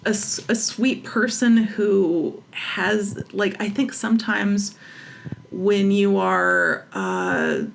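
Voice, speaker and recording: Female interview guest, writer; creaky mid-range voice, casual, self-correcting delivery, slight background noise. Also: mid-range voice, lively intonation. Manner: halting, searching for words, self-correcting.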